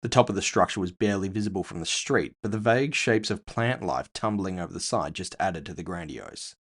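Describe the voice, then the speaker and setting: A man's voice: deep voice, lively intonation, fairly quick, a bit bright. A man narrating scripted first-person fiction with a wry storytelling manner.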